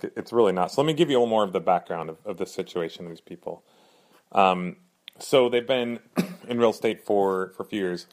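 A man hosting his own podcast in earnest, rambling casual talk, a little hoarse from a cold. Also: low-pitched. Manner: earnest, rambling, frequent fillers and restarts. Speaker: podcast host.

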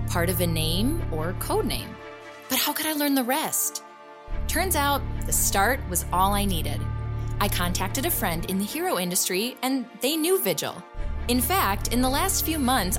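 A woman playing an earnest, intrigued journalist hosting an investigative audio-drama podcast, speaking deliberately. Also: mid-range voice, natural voice. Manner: earnest, deliberate, with rhetorical questions. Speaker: podcast host. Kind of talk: scripted investigative podcast narration.

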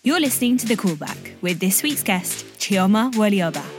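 Female host from the English Midlands, mid pitch, scripted intro delivery over prominent hip hop bed. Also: very animated, moderate pace. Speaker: podcast host, actor and writer. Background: loud hip hop music.

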